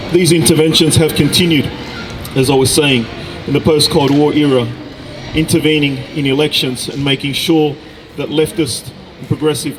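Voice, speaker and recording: Higher-pitched man giving an emphatic political speech. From Chile. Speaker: speaker at a rally, researcher. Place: outdoor public gathering in a city. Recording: outdoor rally with background noise.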